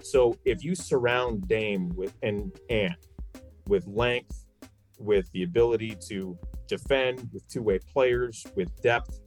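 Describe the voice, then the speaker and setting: A man's voice: mid-range voice, a little mellow. A man talking basketball as a sports commentator, giving opinions emphatically and repeating phrases for stress. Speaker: sports commentator, podcast guest.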